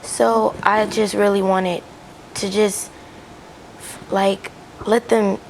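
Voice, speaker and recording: A woman's voice: medium pitch, flat delivery, takes it slowly, vocal fry, slight background noise, low-quality recording. An American teenage girl giving an informal interview answer as a youth volunteer. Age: teens.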